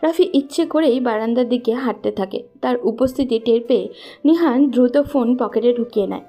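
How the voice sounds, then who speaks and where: High, mellow female story narrator. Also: a little fast, soft background music.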